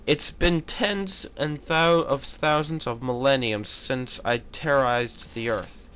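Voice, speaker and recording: Ancient demon, acted by a man, threatening, formal, pompous, slow delivery, mid-pitched, fan fiction reading. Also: a bit bright. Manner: pompous, archaic wording.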